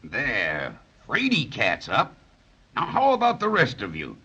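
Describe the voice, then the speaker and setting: An actor playing a gentle, friendly giant with a slow, kindly, folksy manner in a 1930s children's radio serial. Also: male voice, medium pitch, huge pitch swings, very noisy. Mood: gentle, reassuring. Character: gentle, friendly, slow-witted male giant with weak eyes. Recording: dull 1930s radio transcription.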